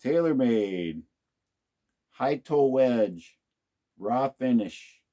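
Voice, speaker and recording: A man's voice: mid-range voice, lively intonation, drawn-out words, mellow. A man casually talking through an unboxing of used golf clubs, musing aloud to himself as he inspects each one. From United States. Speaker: golf club unboxing host.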